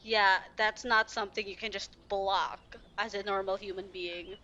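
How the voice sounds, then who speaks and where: A woman streamer doing playful gameplay commentary, excitable, with sarcastic asides and lines read aloud. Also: medium pitch, moderate pace, a little hiss or hum. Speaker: streamer reacting to a game. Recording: dull lo-fi mic, faint music.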